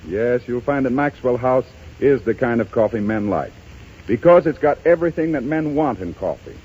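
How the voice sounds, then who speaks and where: A man's voice: medium pitch, lively intonation, moderate pace, a little mellow. An American man announcing a scripted 1930s radio advertisement in a persuasive, emphatic way. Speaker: commercial announcer. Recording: muffled, rough 1930s radio recording.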